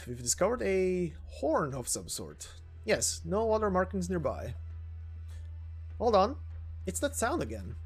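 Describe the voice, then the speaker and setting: A man's voice: sits high, husky, full character voice. A man voicing wary soldiers on a frozen border patrol in a game story, with terse, questioning military talk.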